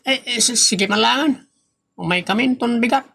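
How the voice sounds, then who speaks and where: Actress as creaky, bright, evasive hired worker. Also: female voice, on the high side, moderate pace, full character voice. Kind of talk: comedy audio drama acting.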